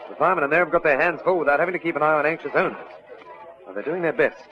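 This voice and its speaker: An actor playing a calm, firm detective sergeant, measured, dryly courteous and politely insistent, in an old radio drama. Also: male voice, on the high side, natural voice. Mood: brisk. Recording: dull, noisy old radio drama recording.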